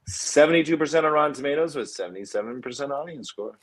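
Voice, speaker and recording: A man's voice: fairly high pitch, animated, quick pace, rough recording. A man co-hosting a podcast, casually reading out film statistics and prompting the others with short questions. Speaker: podcast co-host.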